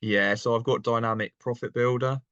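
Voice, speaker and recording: A young man from England chatting casually as an interview guest, relaxed and laughing often. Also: medium pitch, moderate pace, no room sound, highs cut off. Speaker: guest, online seller.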